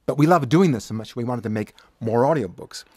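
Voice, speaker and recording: A man's voice: mid-range voice, fairly quick, a little mellow, no room sound. Older man talking, dry studio recording. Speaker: audiobook director. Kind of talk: casual interview talk.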